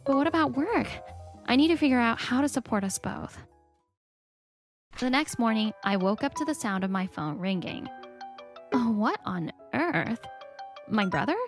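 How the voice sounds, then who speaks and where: Protective young wife and mother, played by a woman, expressive, fairly high voice, scripted manga dub narration. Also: normal speed, pauses often, soft background music. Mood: earnest narration.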